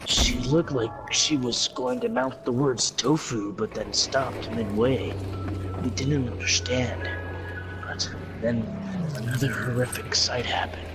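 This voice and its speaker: A man improvising a comic story among friends in a mock-serious, dramatic, drawn-out way. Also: mid-range voice, poor audio. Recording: loud music behind. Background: loud music.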